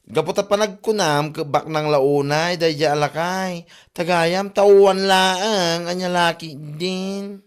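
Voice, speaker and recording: Man acting excitable husband, higher-pitched Ilocano voice. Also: slow, clean tone. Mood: exasperated banter. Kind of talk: comedy audio drama acting.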